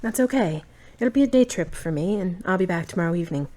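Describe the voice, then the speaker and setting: A woman's voice: lowish voice, animated, fast talker, warm tone, lightly acted. A woman playing a supportive, forthright girlfriend in an amateur audio drama, with a direct and conversational delivery. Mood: practical, understanding.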